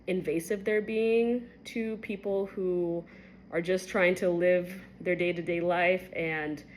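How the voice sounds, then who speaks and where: A woman's voice: steady tone, takes it slowly, darkish tone, a little hiss or hum, low-quality recording. A woman in her twenties, a medical resident born in Kenya, candidly telling a personal story to camera with many fillers. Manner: candid, conversational, many fillers, rising pitch when recounting shocking moments.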